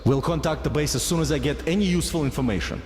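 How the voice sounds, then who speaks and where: An actor playing an easygoing, pragmatic soldier in a video game, talking in a wry, conversational way. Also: male voice, a little high, fast talker, lightly acted. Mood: casual. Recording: echoey hall, loud music behind. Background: game music.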